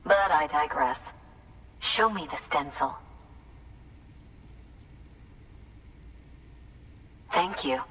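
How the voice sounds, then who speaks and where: An actress playing an eccentric, grandiose mystic researcher in a game, with a portentous, theatrical delivery. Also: female voice, sits low, expressive, a little slow, a bit bright, far from the mic, slight background noise. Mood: eager, mystical. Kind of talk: video game dialogue.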